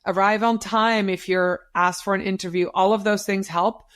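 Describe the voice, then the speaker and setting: A middle-aged woman whose first language is Dutch, speaking English as a guest in an interview. She gives career advice in an emphatic, coaching way and repeats key phrases to drive them home. Her voice sits at an ordinary pitch for a woman. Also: fairly even intonation, normal speed, a bit bright. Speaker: guest, social media business owner. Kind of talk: advice-giving interview talk.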